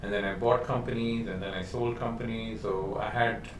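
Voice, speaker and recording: Man, podcast host, low voice, loose chat with fillers, echoey room, faint noise. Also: fairly even intonation, normal speed. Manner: conversational, lots of fillers and restarts. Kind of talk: casual business interview. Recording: roomy echo, distant mic, faint noise.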